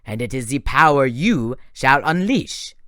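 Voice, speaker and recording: A man's voice: medium pitch, lively intonation, a little slow, a bit bright, no room sound, studio quality. A man voicing a menacing male monster who speaks with a heavy French accent and gloats over his captives. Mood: gloating.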